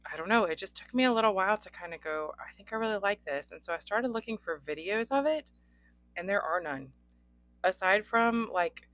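A young adult woman with a hoarse voice, hosting a casual pen unboxing with frequent ums. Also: on the low side, a bit bright, dry recording. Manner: chatty, frequent ums, occasional coughs. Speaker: pen reviewer. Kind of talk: unboxing chat. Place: indoors at a desk. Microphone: overhead camera on desk, hands only.

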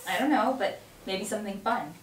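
A woman's voice: mid-range voice, fairly quick. Young woman, distant in an echoey room. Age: young adult. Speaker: actress at a table read. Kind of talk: casual chat and reading lines. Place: home dining room. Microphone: far camera. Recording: distant mic, echoey room, faint noise.

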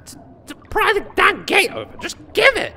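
Small sweary gnome schemer, high and wildly swooping, for fantasy comedy audio. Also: male voice, huge pitch swings, moderate pace, bright, forceful tone, heavily acted. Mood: impatient. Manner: crude, mocking, sweary. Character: foul-mouthed, greedy, scheming little male gnome. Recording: echoey reverberant room with some noise.

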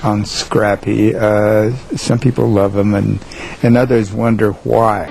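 Slow gravelly man in an archive clip. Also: fairly low pitch, flat delivery. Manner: slow, halting. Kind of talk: interview recollection.

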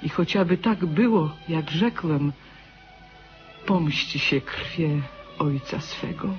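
A man's voice: fairly high pitch, slow. An actor playing a resigned, devout old husband giving his farewell in a radio drama. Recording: dull sound, music behind.

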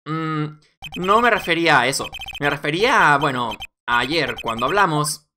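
A man's voice: fairly high pitch, lively intonation, normal speed, bright, forceful tone, slight background noise. A man voicing an earnest young defense lawyer in a video game, hesitant but insistent. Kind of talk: video game courtroom dialogue dubbing.

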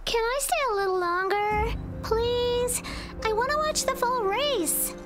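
A small, earnest girl in an anime game. She speaks slowly in a very high childlike voice, hesitant and pleading. Faint music sits behind her. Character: timid, earnest little girl missing her daddy. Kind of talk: anime video game voice acting.

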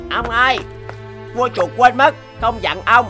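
A woman voicing a small, polite young mouse messenger in an animated folktale, eager and deferential. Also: on the high side, a little slow, lightly acted. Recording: faint music behind.

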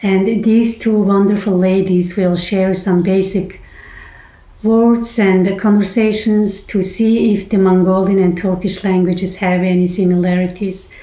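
A woman in her forties hosting and explaining a language topic in a measured, academic way, recorded on a laptop in a small room. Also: mid-range voice, flat delivery, warm tone. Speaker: host. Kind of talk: scripted explainer lecture. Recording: roomy echo.

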